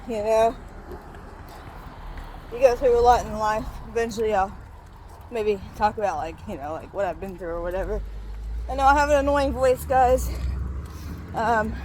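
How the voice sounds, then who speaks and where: A woman's voice: on the high side, a little mellow, a little hiss or hum, rough recording. A young woman with a childlike, slightly nasal voice, out of breath as she walks to work outdoors and chats rambling into her phone for a vlog, with many fillers and restarts. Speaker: vlogger. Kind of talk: casual vlog update while walking. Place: outdoors, walking through a town to work.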